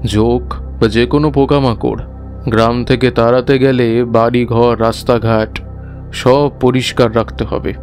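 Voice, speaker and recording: A grave, earnest village schoolmaster, acted out by a man in an animated horror tale. He talks slowly and emphatically, repeating himself as he instructs, over faint background music. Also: medium pitch, close to an everyday voice. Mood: firm, instructive. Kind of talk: dramatized animated horror story.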